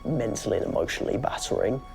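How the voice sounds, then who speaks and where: A male recruit in his late teens with a gravelly voice, talking hesitantly in an interview. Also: lowish voice, lively intonation, fast, music in the background. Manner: hesitant, casual. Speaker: army recruit. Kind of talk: documentary interview. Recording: noisy with clicks behind.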